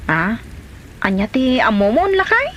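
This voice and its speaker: A woman's voice: a little high, big pitch swings, a little slow, a bit bright, slight background noise. A woman acting as a touchy, gossipy wife and mother in an Ilocano comedy drama. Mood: indignant. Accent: Filipino, Ilocano.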